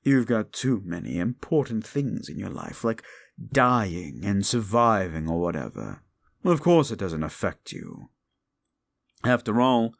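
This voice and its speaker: Man playing a demon of desire, low gravelly voice, slow drawl, contemptuous, theatrical. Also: exaggerated voice. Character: scornful proud male demon of desire. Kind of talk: audio roleplay drama.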